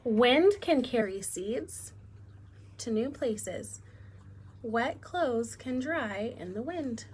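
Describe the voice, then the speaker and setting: A woman's voice: a little high, expressive, extremely slow, darkish tone, a little hiss or hum. A woman teacher doing a read-aloud lesson for young children, instructive and often asking them questions. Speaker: elementary school teacher.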